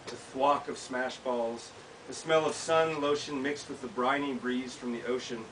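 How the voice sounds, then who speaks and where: Bright-voiced American man reading, recorded at distance. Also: medium pitch. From United States. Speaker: author reading from own work. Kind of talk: live reading of a personal essay. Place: small bookshop event, indoors. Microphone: camera several feet away. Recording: distant mic, hissy background noise.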